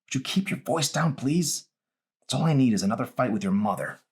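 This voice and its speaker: Man playing weary, defensive dad, raised pitch. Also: lively intonation, a little fast, darkish tone, breathy edge. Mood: exasperated. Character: tired, guilty middle-aged father.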